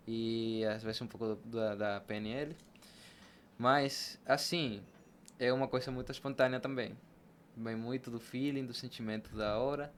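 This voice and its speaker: A man, a Spanish speaker, talking casually and explaining things with examples as an interview guest. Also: medium pitch. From Venezuela. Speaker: podcast guest, barber. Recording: noticeable background noise and ambience.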